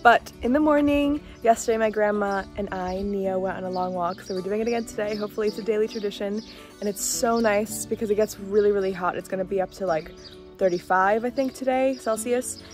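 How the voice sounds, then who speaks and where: A woman's voice: medium pitch, fairly quick, darkish tone, faint music behind. A young woman from Bulgaria vlogging casually and with enthusiasm, talking into a phone outdoors on a village road. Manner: chatty, enthusiastic, laughs often. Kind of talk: casual travel vlog. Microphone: phone held by hand, then camera.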